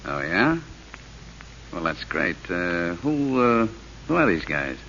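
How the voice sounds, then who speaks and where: An actor playing a cool, loyal, tough racketeer in old radio drama, laconic and guarded, with hesitant pauses. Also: male voice, deep voice, big pitch swings, gravelly, bright, forceful tone, full character voice. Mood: quietly menacing. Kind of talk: 1930s radio acting. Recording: muffled old radio recording with hiss.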